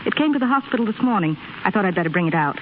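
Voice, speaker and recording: An actress playing a firm, crisp head nurse in 1930s radio drama style. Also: female voice, medium pitch, lively intonation, racing, lightly acted. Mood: friendly. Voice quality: crisp, no-nonsense. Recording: tinny, faintly hissy 1930s radio broadcast.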